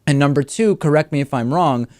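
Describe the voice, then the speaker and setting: A man's voice: on the high side, fairly quick, darkish tone, no echo. Articulate male host, close dry sound. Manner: articulate, lays out examples before asking. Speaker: talk show host and interviewer. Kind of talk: measured political interview talk.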